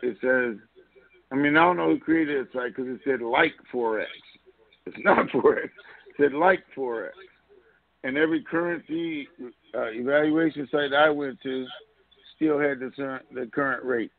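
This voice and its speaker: A man's voice: medium pitch, normal speed, gravelly, no room sound. A man co-hosting a call show, giving informal opinions emphatically with repeated phrases and rhetorical questions over a phone conference line. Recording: muffled lo-fi conference call audio.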